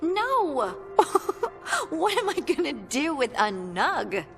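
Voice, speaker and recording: A woman playing a warm, earnest young scout in a fantasy video game, chatting in a friendly, conversational way. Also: sits high, sing-song, very slow, soft background music, exaggerated voice. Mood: playful. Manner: chatty, friendly, lightly amused.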